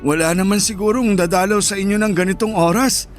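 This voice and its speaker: A man's voice: sits high, fairly even intonation, a little fast, faint music behind, close to an everyday voice. A man playing an elderly, easygoing street vendor who reasons and mildly grumbles in a radio drama. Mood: reasoning.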